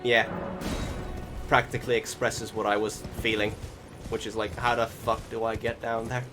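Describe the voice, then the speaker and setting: A man's voice: fairly low pitch, flat delivery, moderate pace. A man giving casual, rambling and hesitant commentary over a video game, swearing now and then. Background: game music behind the voice.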